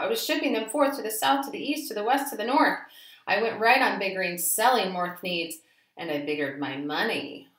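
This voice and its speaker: A woman reading a rhyming children's story aloud in an expressive, emphatic, rhythmic way. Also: medium pitch. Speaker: storybook reader. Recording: roomy echo.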